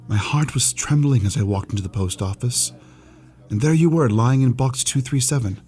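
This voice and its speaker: Man playing an earnest, husky young clerk. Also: mid-range voice, unhurried, pauses often, a little mellow. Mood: eager, lovestruck. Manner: eager, hesitant stammers, frank. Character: earnest, lovestruck, outspoken young male shop clerk. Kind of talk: radio play acting.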